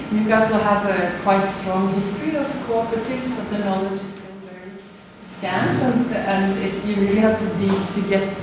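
A woman with a Norwegian accent presents to a conference audience, hesitating often with fillers and restarts. She sounds picked up from across a very reverberant lecture room. Steady background noise sits under her voice. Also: medium pitch. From Norway. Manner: hesitant, many fillers and restarts, light laughs. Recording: echoey room, distant mic, background noise.

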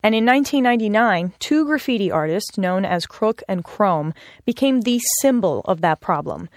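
A woman's voice: mid-range voice, animated, normal speed, dry recording, clean studio sound. A woman reading scripted radio news narration as a reporter.

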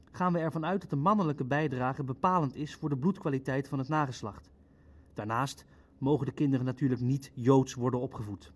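An actor playing a cautious, legalistic Nazi official in a naturalistic audio drama, speaking formally and precisely. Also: male voice, on the high side, a little mellow. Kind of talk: Dutch audio drama acting, naturalistic meeting dialogue.

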